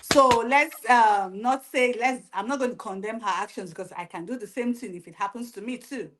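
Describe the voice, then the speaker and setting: A woman's voice: on the high side, normal speed, very noisy. Earnest woman arguing her view. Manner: earnest, argumentative. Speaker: panelist. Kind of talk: opinionated panel chat.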